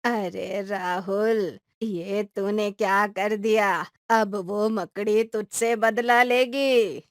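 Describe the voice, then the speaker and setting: A woman voicing an old grandmother in a cartoon story who draws out her words slowly. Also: mid-range voice, steady tone, no echo, clean studio sound. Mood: alarmed. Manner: slow, drawn-out lines. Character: old grandmother, teasing but gentle. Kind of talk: cartoon voice acting.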